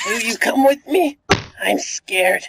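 A man's voice: extremely high pitch, animated, slow, creaky, background noise, full character voice. A man voicing an eager, slangy cartoon chicken mascot in a video game. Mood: scared, hesitant. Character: excitable cool cartoon chicken mascot turning timid. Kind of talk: video game character voicelines.

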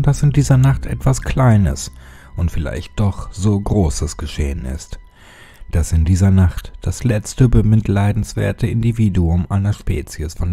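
A man narrating a scripted horror story in a dramatic, suspenseful manner with deliberate phrasing. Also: low-pitched, warm tone.

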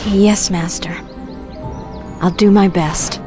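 An actress playing a polite, inquisitive young sorceress apprentice in a fantasy game, respectful and earnest. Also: female voice, on the low side, fairly even intonation, takes it slowly, music in the background. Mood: earnest, curious. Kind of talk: fantasy video game cutscene acting.

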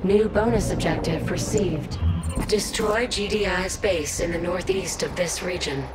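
Casting: actress as cold female alien battle AI, low register, measured and commanding, terse game alerts under loud music. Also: close to an everyday voice. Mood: impersonal, commanding. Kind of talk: terse strategy-game status announcements.

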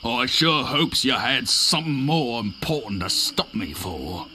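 A surly game pirate with a stage pirate accent. He drawls slowly and theatrically in a forceful, bright voice, with faint noise behind. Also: medium pitch, lively intonation. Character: surly male pirate lookout, affected old-time lingo. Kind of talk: comic adventure game acting.

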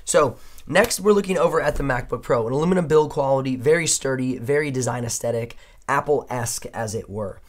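A man in his thirties with a hoarse, worn voice, giving an upbeat, chatty laptop comparison review at a studio desk. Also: medium pitch, brisk. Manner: upbeat, chatty, punctuates points with "okay?". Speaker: tech reviewer. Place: indoor studio desk, dim room.

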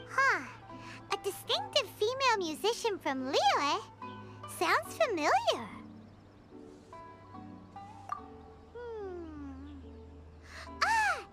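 A tiny, cheeky fairy sidekick from a video game, extremely high and squeaky like a small child. Delivery is excitable and bouncy in pitch, at an unhurried pace, over prominent music. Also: female voice, exaggerated voice. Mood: excited, cheeky. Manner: speaks of herself in third person, exclamatory. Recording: loud music behind the voice. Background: prominent background music.

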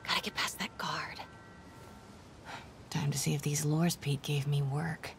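An actress voicing a determined young woman sneaking around in a video game, speaking in hushed half-whispers. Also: sits low, fairly even intonation, unhurried, gravelly, slight background noise. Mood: tense, hushed. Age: young adult. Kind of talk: game voice acting.

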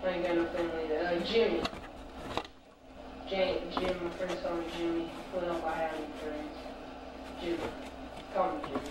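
A gloomy, resigned dead man acted by a man talks haltingly in an amateur drama. The sound is very echoey and far from the mic, with loud noise throughout. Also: fairly high pitch, little pitch movement, close to an everyday voice. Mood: dejected. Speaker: amateur actor in a hobby film. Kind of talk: slow improvised-sounding drama dialogue. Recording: noisy, echoey, distant homemade tape sound.

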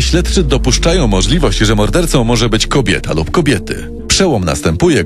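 A man narrating a scripted true-crime radio story in a dramatic storytelling manner. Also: on the low side. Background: prominent music bed.

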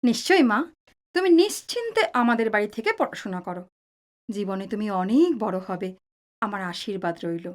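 A woman playing a caring, chiding middle-aged mother in an audio drama, with an expressive motherly delivery. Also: medium pitch, fairly quick, natural voice. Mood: kindly.

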